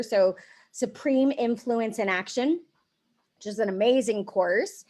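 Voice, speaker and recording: A woman in her forties hosting a live stream interview over a video call, chatty and animated, laughing often. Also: a little high. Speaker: host. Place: home room.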